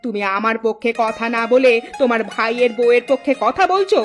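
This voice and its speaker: A woman playing a quarrelsome, sharp-tongued village wife in a cartoon, scolding and indignant. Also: a little high, animated, a little fast, natural voice. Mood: indignant, complaining. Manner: scolding, taunting, indignant delivery. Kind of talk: Bangla cartoon dialogue. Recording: music under the voice.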